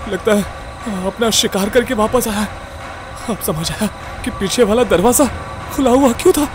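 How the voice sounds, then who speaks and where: A man's voice: sits high, moderate pace, over music, voice pushed up, lightly acted. A man acting as a bold, bossy young ghost-case investigator in a comic horror audio drama, brash and scolding. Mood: alarmed.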